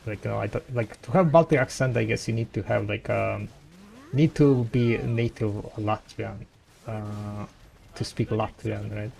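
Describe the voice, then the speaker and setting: A man's voice: fairly low pitch, gravelly, a little mellow. Rambling man with a light Russian accent. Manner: rambling, halting, many restarts. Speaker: game streamer. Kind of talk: casual chat with viewers.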